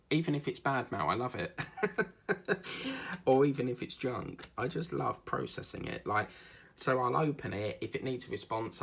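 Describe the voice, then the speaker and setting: Rambling Essex man with a gravelly voice. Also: on the low side, a bit bright. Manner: rambling and chatty, with frequent fillers. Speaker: diamond painting vlogger. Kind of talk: casual chat while crafting.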